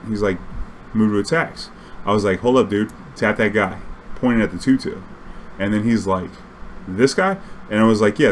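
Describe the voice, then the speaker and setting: A man casually telling an anecdote in a slangy, swearing, animated way, acting out bits of dialogue. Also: on the low side, slight background noise. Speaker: storyteller recounting his own tournament games.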